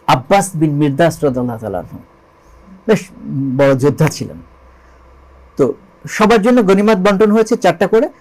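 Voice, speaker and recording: A Bangladeshi man with a high-pitched voice explains religious history as a storyteller. He is emphatic, repeating key phrases and asking rhetorical questions as he goes. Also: darkish tone, rough recording. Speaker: interview guest, lyricist and composer.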